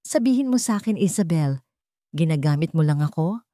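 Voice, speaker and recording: A woman's voice: low-pitched, expressive, normal speed, warm tone, dry recording. A defensive cheating man played by a woman narrator talks in a curt, exasperated way, easily shaken. It is a dry audio-story recording. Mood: shaken, angry.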